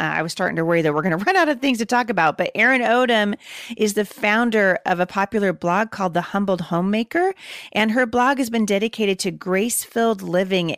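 A woman's voice: medium pitch, a bit bright, no room sound. Chatty woman podcast host, dry sound. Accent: Portland, Oregon. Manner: chatty, laughs easily, playful asides. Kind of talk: casual podcast interview.

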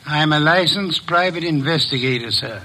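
An actor playing a middle-aged private investigator in a 1950s radio drama, speaking in a precise, measured, commanding way. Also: male voice, on the high side, bright, forceful tone. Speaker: detective.

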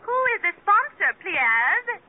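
A woman's voice: extremely high pitch, big pitch swings, takes it slowly, phone-quality sound. A woman playing a prim, insistent caller in 1940s radio comedy, heard on an old broadcast. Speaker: caller in sketch.

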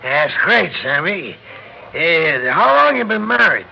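An actor playing a boisterous, teasing, beer-loving construction worker in an American radio drama, slangy and jovial, with an American accent. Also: male voice, a little high, sing-song, slow, vocal fry, exaggerated voice. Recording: muffled old radio recording with hiss.

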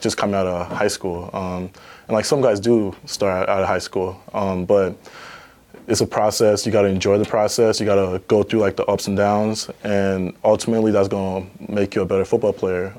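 A man's voice: on the low side, darkish tone. A young man and college football player giving modest, easygoing interview answers. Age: young adult. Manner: easygoing and modest with many fillers.

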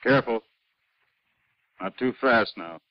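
An actor playing a concerned, careful spaceship commander in a vintage radio science fiction drama. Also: male voice, mid-range voice, slow pace, close to an everyday voice. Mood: concerned, cautious. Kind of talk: 1950s radio drama acting. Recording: dull, dry old radio recording.

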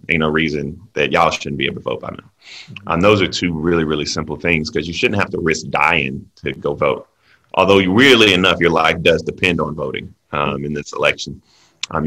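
Very deep-voiced man, earnest. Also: bright, forceful tone. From South Carolina, US. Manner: earnest, measured storytelling with frequent ums. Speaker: guest political commentator. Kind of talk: podcast interview.

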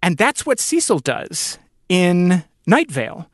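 Thoughtful man with a slightly high voice. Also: sing-song, slow pace, dry recording, studio quality. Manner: earnest, thoughtful, musing. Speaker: podcast host interviewer. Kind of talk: reflective conversational interview.

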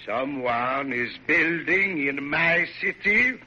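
Haughty, swooping architect. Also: male voice, a little high, expressive, slow, sharp tone, husky, exaggerated voice. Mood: outraged, commanding. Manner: imperious, theatrical, grandly formal. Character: haughty, hot-tempered perfectionist French architect, male. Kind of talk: classic radio drama acting. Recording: noisy, dull vintage radio recording.

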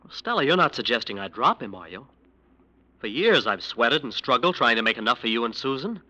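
An actor playing a defensive, uneasy lawyer, hesitant and self-justifying, in 1940s radio drama style. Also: male voice, medium pitch, big pitch swings, brisk, sharp tone, exaggerated voice. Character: defensive, uneasy male lawyer working for a gangster. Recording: noisy vintage radio recording.

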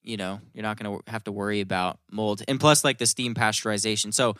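Man for casual podcast talk: lowish, crisp, close and dry, sweary and rambling. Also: fairly even intonation, quick pace, a bit bright, no echo. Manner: informal, profane, rambling explanations.

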